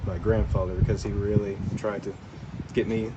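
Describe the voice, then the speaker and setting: Man answering interview questions over loud outdoor noise. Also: on the low side, little pitch movement, normal speed, highs cut off. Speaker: angler being interviewed. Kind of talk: answering questions about fishing.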